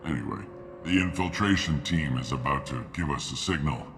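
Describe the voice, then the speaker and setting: An actor playing a gruff, cynical male mercenary sergeant and mechanic in a video game, with a curt, dismissive manner. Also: a bass voice, moderate pace. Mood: brisk. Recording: clear background noise.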